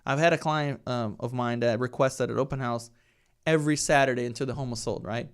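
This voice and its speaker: Smooth-voiced Brazilian-accented man. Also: medium pitch, steady tone, brisk. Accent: Brazilian Portuguese. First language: Portuguese. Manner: conversational, tags statements with "right?". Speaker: real estate team leader, guest. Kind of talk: casual podcast interview.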